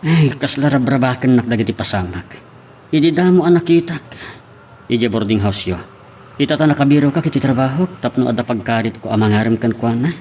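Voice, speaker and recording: A man's voice: mid-range voice, a little fast, darkish tone, a little hiss or hum, lightly acted. A man with an Ilocano accent acting a hesitant, lovesick young employee in an amateur audio drama. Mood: troubled. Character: hesitant lovesick young male employee, unsure with his boss.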